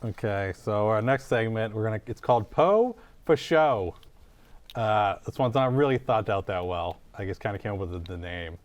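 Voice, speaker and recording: Man, comedy host, lowish pitch, informal delivery, rambling, jokey, improvised interview chat. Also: lively intonation, normal speed.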